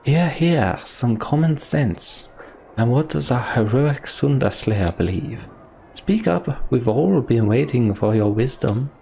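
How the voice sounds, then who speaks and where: Give me a pompous shouting chieftain from a game, as a man puts him on, gravelly and jeering. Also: medium pitch, animated, takes it slowly, slight background noise. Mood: mocking. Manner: sneering, shouting. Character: pompous scheming male chieftain rousing a mob.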